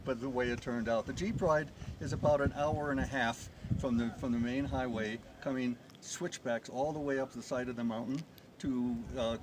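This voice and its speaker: Middle-aged american guy outside in the mountains, excited about the views, loud noisy background. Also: medium pitch. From United States. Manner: enthusiastic, descriptive. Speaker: tourist guest. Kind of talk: outdoor interview. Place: outdoors in the mountains. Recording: noisy outdoor ambience.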